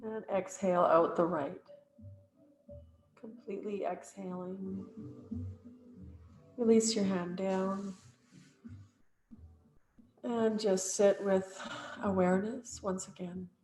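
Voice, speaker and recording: A woman yoga teacher calmly guiding a breathing exercise, with long pauses between cues. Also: mid-range voice, soft background music. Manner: slow, calm and soothing, with long pauses. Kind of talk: guided breathing instruction. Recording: echoey room with faint ambience.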